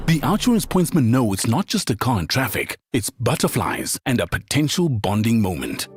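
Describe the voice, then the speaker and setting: A man's voice: mid-range voice, big pitch swings, moderate pace, over music. A man narrates a scripted radio advertisement in a storytelling style.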